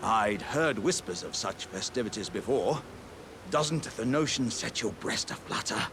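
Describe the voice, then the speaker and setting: A man's voice: fairly low pitch, lively intonation, unhurried, over music. A man playing a jovial, polite, boastful living warrior jar in a video game, with hearty, courtly, old-fashioned phrasing. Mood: cheerful, eager. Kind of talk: video game character dialogue.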